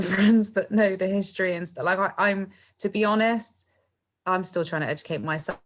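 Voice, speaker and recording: A middle-aged woman, a singer chatting as a podcast guest, laughing often and padding her talk with fillers and tag questions. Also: mid-range voice. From England. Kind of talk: casual interview chat.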